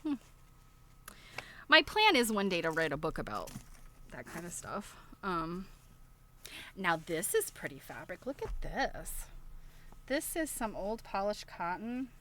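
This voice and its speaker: A woman's voice: on the low side, sing-song, a little slow, long pauses, fairly bright tone, slight background noise. A woman hosting a casual unboxing livestream, rambling and thinking aloud as she shows items and puts questions to her viewers. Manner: chatty show-and-tell, asking viewers questions. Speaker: livestream host.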